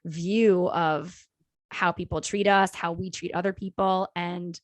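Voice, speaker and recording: A woman co-hosting a podcast in casual chat, reflective and measured, thinking out loud. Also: lowish voice, fairly even intonation, dry recording. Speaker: podcast co-host.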